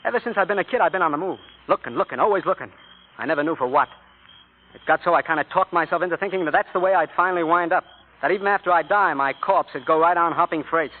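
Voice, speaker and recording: A man's voice: a little high, quick pace, natural voice. An actor playing a tough young drifter and convict, talking in slangy tough-guy style that turns tender, in 1940s radio drama style. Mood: dreamy. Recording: tinny old radio broadcast.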